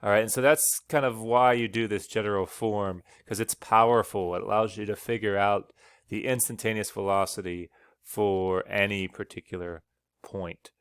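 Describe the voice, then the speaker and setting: Smooth-voiced male teacher explaining step by step. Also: fairly low pitch, fairly even intonation, moderate pace, no echo, clean studio sound. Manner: explains step by step, frequent "okay?" checks. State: slightly losing his voice. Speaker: math teacher. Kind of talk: recorded slide lecture.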